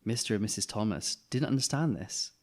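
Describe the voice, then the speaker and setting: A man narrating a children's storybook in an expressive way, as a reader who acts out the dialogue. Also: lowish voice, brisk.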